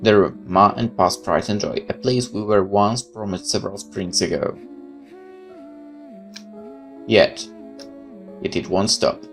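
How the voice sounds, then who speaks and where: A man's voice: lowish voice, a little slow, vocal fry, long pauses, fairly bright tone, soft background music. A man reading a horror visual novel aloud as a let's player, with casual commentary of his own.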